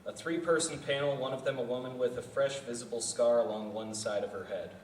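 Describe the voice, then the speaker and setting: A man's voice: mid-range voice, fairly even intonation, a little fast, a little mellow. A man reading stage directions at a live table read in a plain, matter-of-fact way. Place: festival venue room. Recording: echoey room, distant mic, faint noise.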